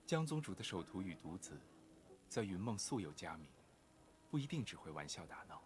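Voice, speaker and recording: An actor playing a calm, gentle, dignified gentleman cultivator in an animated drama, measured in delivery. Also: deep voice, faint music behind. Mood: composed.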